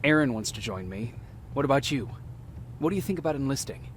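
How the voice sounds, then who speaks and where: Male army private played by an actor: steady, sincere, middle register, video game drama, faint rumble. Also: lightly acted. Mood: calm, dutiful. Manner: measured, earnest. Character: calm, dutiful young male resistance soldier.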